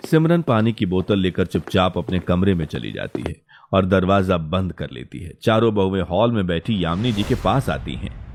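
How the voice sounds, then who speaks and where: A man narrates a scripted story in a very low voice with lively, swooping intonation. There is faint noise in the recording. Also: moderate pace.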